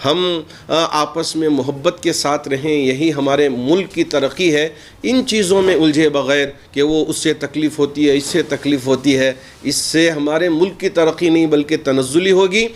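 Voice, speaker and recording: A man's voice: on the high side, steady tone, moderate pace. A man delivers a statement in the role of a cleric. His wording is formal and his manner earnest, as if addressed to the news media. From India. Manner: earnest, formal Urdu-laced speech.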